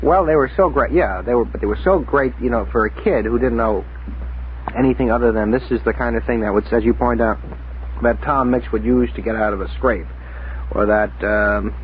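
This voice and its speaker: A man with a medium-pitched voice chats on a radio panel in an inquisitive way. The old recording is narrow and tinny, with some noise behind him. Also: brisk. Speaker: radio panelist questioning the guest. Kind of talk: casual radio panel chat. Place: radio studio.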